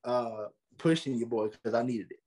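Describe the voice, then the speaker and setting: Interview guest, male, late teens, mid-range pitch, talks casually like a podcaster. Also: moderate pace, darkish tone. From South Carolina, USA. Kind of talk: casual conversational interview answers.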